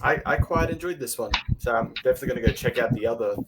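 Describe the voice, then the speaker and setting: Male reviewer, mid-pitched, casual conversation, very noisy clicky recording. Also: rapid-fire. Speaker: podcast guest reviewer. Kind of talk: casual chat.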